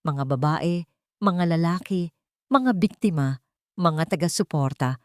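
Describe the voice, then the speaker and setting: Expressive low-pitched Filipino woman narrator. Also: unhurried, no room sound, studio quality. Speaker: audiobook narrator. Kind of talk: dramatic scripted story narration.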